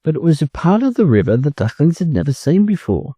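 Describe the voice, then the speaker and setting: Australian man reading stories, mellow and animated. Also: fairly high pitch, a little fast, husky, no room sound. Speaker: storybook reader. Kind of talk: expressive children's story narration.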